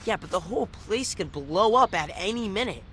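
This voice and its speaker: A man playing a cautious, matter-of-fact, wry young mutant in an animated video game. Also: sits high, brisk, husky, heavily acted.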